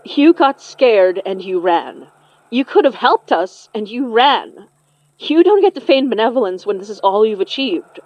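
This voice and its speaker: An actress playing a stubborn, protective young magic practitioner in an audio drama, earnest and confrontational. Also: female voice, fairly high pitch, very animated, unhurried, dry recording, lo-fi sound. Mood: angry, defiant.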